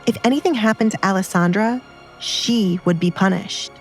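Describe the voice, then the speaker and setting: A woman's voice: mid-range voice, normal speed, faint music behind, low-quality recording. A woman narrating an audiobook drama in a scripted storytelling style.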